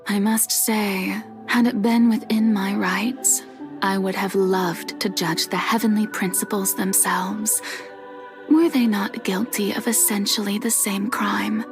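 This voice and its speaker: Slow, serene goddess of justice played by a woman. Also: mid-range voice, little pitch movement, over music, close to an everyday voice. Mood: serene, philosophical. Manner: measured, formal, gently teasing, theatrical. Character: serene, playful goddess of justice, ancient and self-sacrificing. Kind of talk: scripted video game cutscene acting.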